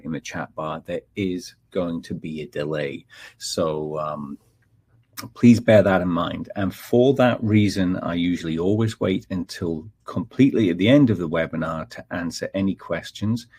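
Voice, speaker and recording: Deep gravelly man hosting a webinar. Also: darkish tone. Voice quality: polite, chatty, frequent ums and uhs. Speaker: technical webinar presenter. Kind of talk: live webinar introduction.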